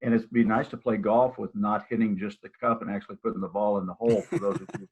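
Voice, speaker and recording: A man's voice: on the low side, fairly even intonation, fast, a little mellow, slightly rough. An older man, a real estate broker, talking casually in a live video-call interview, rambling with many restarts and fillers. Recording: tinny phone-like audio.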